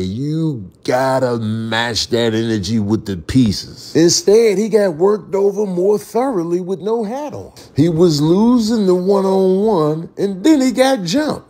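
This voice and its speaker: A man's voice: a little high, mellow. A man with an American accent doing comic voiceover commentary in a theatrical, exasperated way full of inventive slang.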